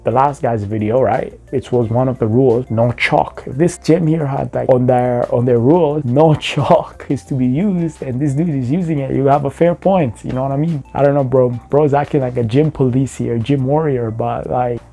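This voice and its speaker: A young man comments casually on gym clips, his voice mellow and unhurried in tone. He mocks lightly and keeps calling people bro. A soft music bed plays faintly under him. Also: mid-range voice, vocal fry. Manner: laid-back, mocking, says bro often. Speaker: reaction video host.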